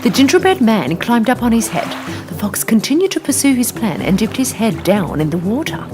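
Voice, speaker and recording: A woman narrating a children's story in an animated, expressive way. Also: on the high side, fairly quick. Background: music.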